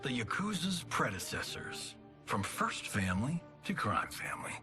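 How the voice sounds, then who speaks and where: A man's voice: medium pitch, big pitch swings, normal speed, lightly acted. An actor playing a cocky, glib, washed-up male action movie star in a video game cutscene, flippant and showy. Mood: sardonic. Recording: music behind the voice.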